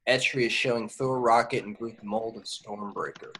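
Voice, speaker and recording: A man's voice: fairly low pitch, fairly even intonation, a little fast, fairly bright tone, a little hiss or hum, rough recording. A man reading out stage directions as scripted narration at an amateur table read.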